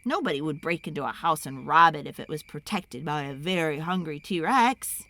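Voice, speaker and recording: A woman voicing an eager, pleading young child in an excitable, dramatic storybook read-aloud. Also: sits low, pitched below the performer's own voice, exaggerated voice. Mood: eager, boastful. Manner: wheedling, excitable, dramatic, drawn-out emphasis.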